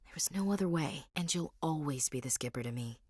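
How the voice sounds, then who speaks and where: A woman's voice: deep voice, expressive, a little fast, a little mellow, husky, no room sound. An actress playing a young female marine soldier in a game, blunt, dry and teasing. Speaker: soldier character. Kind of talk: game dialogue lines. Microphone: studio mic.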